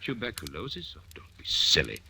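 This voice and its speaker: An actor playing a calculating, sly male prisoner who speaks smoothly and with menacing calm, and who also narrates, in 1940s radio acting. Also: sits low, fairly quick, no room sound, heavily acted. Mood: soothing.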